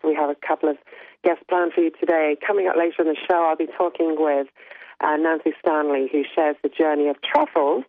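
A woman hosting a radio talk show, chatting casually and laughing often, her voice still rough from laryngitis. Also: on the low side. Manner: chatty, laughs often while telling stories. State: recovering from laryngitis. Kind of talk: casual on-air chat. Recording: tinny phone-line sound, dry.

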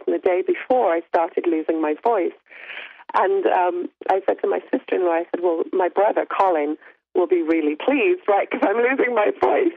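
A woman hosting a radio talk show, chatting casually and laughing often, her voice still rough from laryngitis. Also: lowish voice. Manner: chatty, laughs often while telling stories. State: recovering from laryngitis. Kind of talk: casual on-air chat. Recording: tinny phone-line sound, dry.

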